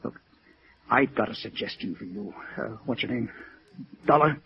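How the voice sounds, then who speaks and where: A man's voice: fairly low pitch, expressive, moderate pace. An actor playing a lovestruck, stubborn and defensive man in 1950s radio drama, with an earnest, hesitant manner. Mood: indignant. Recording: dull vintage radio recording.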